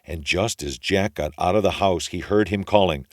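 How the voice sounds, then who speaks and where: A man reading a children's story aloud as an expressive storyteller. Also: sits low, moderate pace, no echo, clean studio sound.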